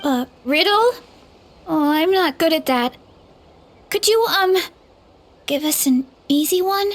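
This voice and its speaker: A woman voicing a friendly, easygoing young woman in a video game, gentle and unhurried. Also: high voice, lively intonation, a little hiss or hum. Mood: sweet.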